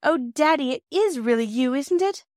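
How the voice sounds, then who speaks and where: A woman's voice: high voice, expressive, moderate pace, a little mellow, dry recording, studio quality, voice pushed up. A woman voicing an earnest, affectionate Edwardian girl in a storybook reading, with an emotional, emphatic way of exclaiming. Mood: joyful, tender. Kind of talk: storybook character voice in audiobook reading.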